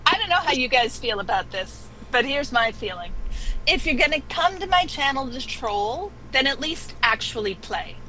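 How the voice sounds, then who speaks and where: A woman's voice: high-pitched, big pitch swings, normal speed, sharp tone, a little hiss or hum, low-quality recording. A woman on a video call giving blunt, emphatic opinions in a casual group chat. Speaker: channel owner.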